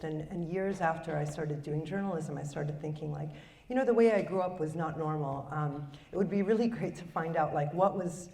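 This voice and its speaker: A woman's voice: low voice, creaky, a little mellow. A woman filmmaker from Chicago, speaking reflectively with many fillers on a conference panel. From Chicago, United States. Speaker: filmmaker and former public radio journalist. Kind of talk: panel discussion. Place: conference hall. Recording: roomy hall, mic far off, faint noise.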